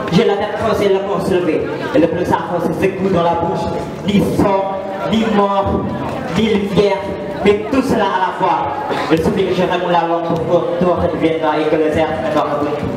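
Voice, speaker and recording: A man's voice: high voice, fairly even intonation, moderate pace, a crowd in the background, natural voice. A young man, a student actor, playing a tormented, imperious Roman emperor. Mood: anguished. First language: Indonesian. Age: young adult. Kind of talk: theatrical dramatic stage reading. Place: large reverberant hall with an audience. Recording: very echoey hall, distant mic, audience noise.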